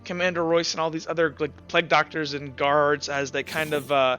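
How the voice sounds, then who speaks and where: A man with a fairly high, bright voice runs a tabletop roleplaying game as game master. He describes scenes off the cuff, stopping and repeating himself as he builds them. Music plays behind him. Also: level tone. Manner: halting, hesitant descriptions full of repeats.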